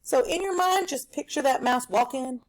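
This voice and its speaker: A woman with a fairly high voice leads a recorded reading lesson for children. She explains step by step like an elementary teacher, animatedly acting out small bits as she goes. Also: normal speed, poor audio. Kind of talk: recorded read-aloud lesson for children at home.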